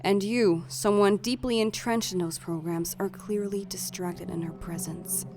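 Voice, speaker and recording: A woman voicing a brisk, formal, scheming female staff aide, articulate and dryly businesslike, in a dramatic fandub. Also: medium pitch, fairly even intonation, darkish tone, slight background noise, natural voice. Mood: stern, concerned.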